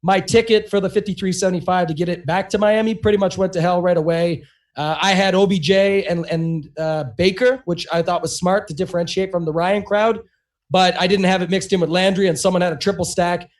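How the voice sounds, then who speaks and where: A man co-hosting a casual sports podcast in a high voice, pushing his words out quickly. He sounds a little hoarse, as if losing his voice, and strings his sentences together with many "you know"s. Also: fairly even intonation. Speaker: podcast co-host.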